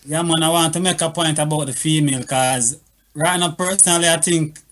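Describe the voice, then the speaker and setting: A man's voice: fairly high pitch, fairly even intonation, brisk, a bit bright. Earnest man on panel. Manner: earnest, patois phrasing, lots of "you know". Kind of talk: casual radio chat. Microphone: video call.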